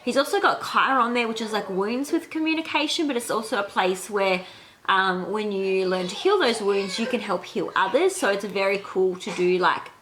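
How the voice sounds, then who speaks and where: A woman's voice: mid-range voice, a bit bright, a little hiss or hum, poor audio. Chatty woman with a nasal cold. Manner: chatty, many fillers like "um" and "like". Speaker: astrology vlogger. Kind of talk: casual explanatory reading. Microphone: handheld camera.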